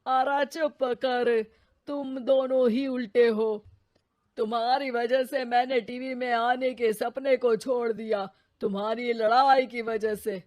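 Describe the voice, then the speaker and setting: A woman voicing a grumpy old father in a cartoon story, scolding in a slow manner. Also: fairly high pitch, fairly even intonation, a little mellow.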